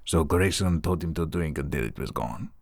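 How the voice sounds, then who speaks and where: Spanish-accented pirate played by a male actor, blunt, wary, very low husky voice, historical audio drama. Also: expressive, normal speed, dry recording, clean studio sound, full character voice. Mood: explaining.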